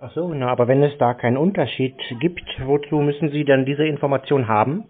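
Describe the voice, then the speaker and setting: A man speaking in a deadpan, terse, mock-naive way during a prank phone call. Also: medium pitch, brisk. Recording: thin, dull phone-like sound.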